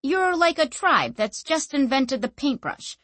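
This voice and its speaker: A woman's voice: high voice, animated, unhurried, a bit bright, no echo, voice pushed up. A woman voicing an ancient sentient object that talks through a young woman's body in a casual, slangy, breezy way. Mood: frank, explaining. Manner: casual, slangy, matter-of-fact explaining.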